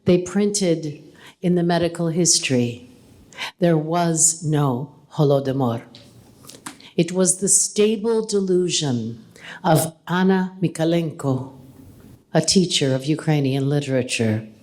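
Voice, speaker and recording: A woman reading an English translation of a poem aloud in a calm, measured way at a live reading. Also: low-pitched. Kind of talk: poetry reading. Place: gallery reading event.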